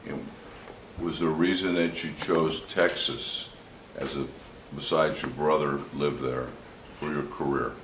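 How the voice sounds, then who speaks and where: A man's voice: extremely low pitch, fairly even intonation, measured pace, echoey room, background noise. A man working as an attorney who questions a witness in a courtroom, asking short, direct questions. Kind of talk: direct examination.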